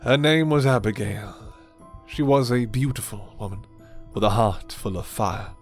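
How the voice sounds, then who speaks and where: A man voicing a suave, menacing, condescending vampire lord in an ASMR roleplay, courtly and theatrical, with deliberate delivery. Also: medium pitch, lightly acted. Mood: brooding, sorrowful. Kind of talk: ASMR roleplay character acting. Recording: music behind the voice.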